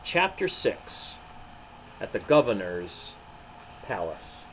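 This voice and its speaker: Pastor talking to children, noisy recording. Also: male voice, lowish voice, very animated, slow pace, fairly bright tone. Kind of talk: children's storybook reading with short reflections.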